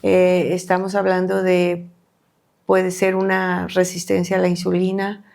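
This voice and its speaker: A middle-aged woman from Colombia with a low, gravelly voice, speaking as a guest doctor on a podcast. She talks haltingly, circling back with fillers and restarts. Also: completely flat delivery, darkish tone. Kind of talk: podcast interview.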